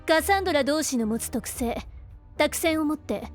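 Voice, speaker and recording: Woman as earnest female seer, audio drama, formal speech, high voice, faint music. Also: expressive, moderate pace. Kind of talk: dramatic scripted voice acting.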